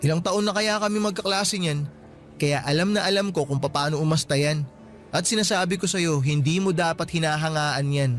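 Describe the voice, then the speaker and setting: A man's voice: fairly high pitch, a little fast, fairly bright tone, faint music behind, natural voice. A man playing a teasing young boyfriend. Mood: frank. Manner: playful and teasing, but frank when serious. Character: teasing, affectionate young male student boyfriend. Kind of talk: radio drama acting.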